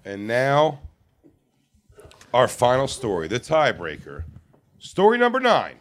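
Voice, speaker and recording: A man, a comedian on a panel, cracking loud, profane jokes and teasing the others. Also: mid-range voice, wildly swooping pitch, slow pace, faint music behind. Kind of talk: crude comedy banter.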